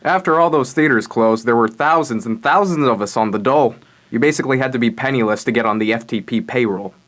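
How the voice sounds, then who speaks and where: A man's voice: mid-range voice, quick pace, fairly bright tone, natural voice. A man playing a candid 1930s theater worker looking back, in a radio theater reading.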